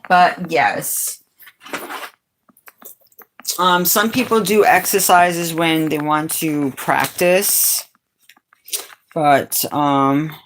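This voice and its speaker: A middle-aged woman from Brazil with a raspy, fairly low voice, chatting casually with viewers on a livestream and calling them sweetheart and darling. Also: a little hiss or hum. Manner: laughs often, calls viewers sweetheart and darling. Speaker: livestream host. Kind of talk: casual chat answering viewers.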